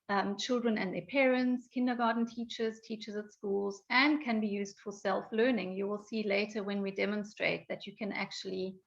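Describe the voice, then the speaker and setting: A woman giving an online software training, explaining with frequent ums, her voice going croaky. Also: mid-range voice. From South Africa. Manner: explanatory, many ums and restarts. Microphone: video call. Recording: dull lo-fi online call audio.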